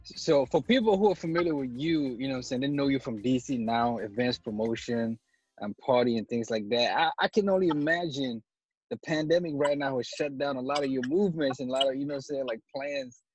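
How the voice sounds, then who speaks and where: A man's voice: medium pitch, a little fast, husky. A man co-hosting a podcast, talking in an informal, conversational way. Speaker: podcast co-host. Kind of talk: casual podcast chat. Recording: dry muffled lo-fi audio, faint music.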